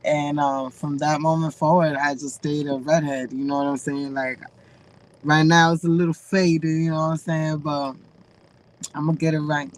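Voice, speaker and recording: A woman's voice: deep voice, flat delivery, normal speed, low-quality recording. Chatty woman with a Dominican-Massachusetts accent. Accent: Dominican and Massachusetts mix. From Dominican family, raised in Massachusetts. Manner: chatty, slangy, full of fillers. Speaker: guest. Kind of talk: casual chat on a live call. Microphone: remote video call.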